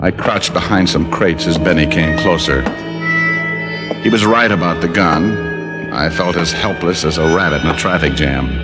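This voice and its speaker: A man's voice: deep voice, normal speed, lightly acted. An actor playing a dry, wisecracking private detective who narrates his own case, in 1950s radio drama style. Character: wisecracking male private eye. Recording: old radio recording with loud music.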